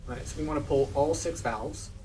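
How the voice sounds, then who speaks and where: A man gives relaxed, tour-guide style commentary over his gameplay. His voice sits a bit away from the microphone, and steady noise runs under it. He explains as he goes, calm and practical. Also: on the high side, moderate pace, distant mic, rough recording. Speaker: game walkthrough host.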